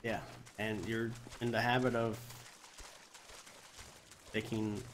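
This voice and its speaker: A man's voice: on the low side, flat delivery, slow pace, slight background noise. A man with a cold giving casual let's play commentary, muttering asides as he streams a video game. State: has a cold. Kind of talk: casual let's play commentary, reading quest text aloud. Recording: game music behind the voice. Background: video game music.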